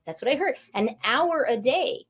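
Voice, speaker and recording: High-voiced gushing woman host. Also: expressive, moderate pace, breathy edge. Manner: gushing, enthusiastic, repeats phrases. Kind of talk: upbeat online interview chat.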